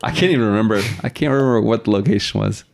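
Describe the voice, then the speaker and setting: A man's voice: fairly low pitch, racing, gravelly, noisy background. A man whose first language is Russian, chatting informally as a podcast guest, telling stories and often chuckling as he talks. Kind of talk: casual storytelling in conversation.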